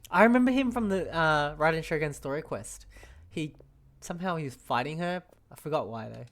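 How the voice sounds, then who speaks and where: A woman's voice: low-pitched, expressive, fairly quick. A woman giving casual, chatty game commentary as a streamer, in an informal, giggly manner. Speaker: gaming streamer.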